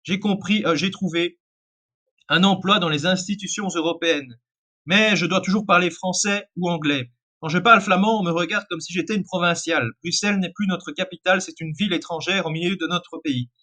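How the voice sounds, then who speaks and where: A man's voice: high voice, fairly quick, pushed and bright. A man reading as a frustrated young woman. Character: young Flemish woman office worker, frustrated.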